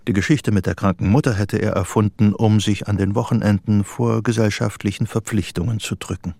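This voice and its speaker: Low, creaky male narrator, dry sound. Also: steady tone, fairly quick, a little mellow, no echo. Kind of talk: scripted literary narration for radio drama.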